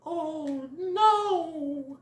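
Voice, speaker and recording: A person voicing an excitable young male cartoon rabbit, cocky but quick to panic, with dramatic exclamations. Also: sits high, reverberant, pitched above the performer's own voice. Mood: nervous.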